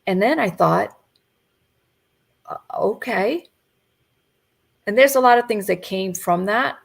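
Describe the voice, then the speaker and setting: A woman in her fifties, a coach, giving a gentle, reflective motivational talk over a video call. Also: medium pitch, slow, creaky. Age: 50s.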